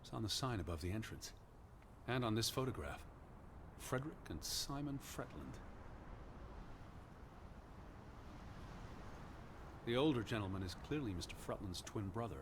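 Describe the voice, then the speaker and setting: An actor playing an earnest, cautious, bookish American scholar in a 1920s-set video game, speaking properly and with measured care. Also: male voice, fairly low pitch, lively intonation. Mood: matter-of-fact, prim. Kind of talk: naturalistic video game voice acting. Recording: clear background ambience noise.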